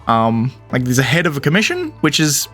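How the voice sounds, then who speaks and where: A man's voice: mid-range voice, huge pitch swings, brisk, a bit bright, faint music behind. A man giving casual commentary as he reacts to a video game story.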